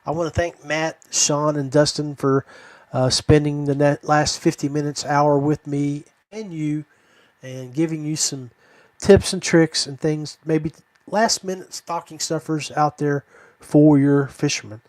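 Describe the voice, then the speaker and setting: A man's voice: a little high, fairly even intonation, unhurried, a little mellow, dry recording. A man hosting a podcast, chatting casually and addressing guests and listeners. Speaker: podcast host. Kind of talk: casual podcast chat and sign-off.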